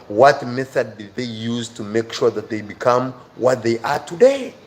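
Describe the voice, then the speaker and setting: A man's voice: mid-range voice, expressive, measured pace, darkish tone. A man with an African accent giving a motivational live lesson to viewers as their teacher, earnest and emphatic, repeating key phrases.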